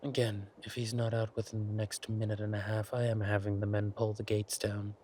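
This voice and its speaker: A man playing a villainous king in an audio roleplay, silky and amorous yet quietly furious, with deliberate, mock-polite speech. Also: on the low side, level tone, slight background noise, natural voice. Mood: playfully menacing.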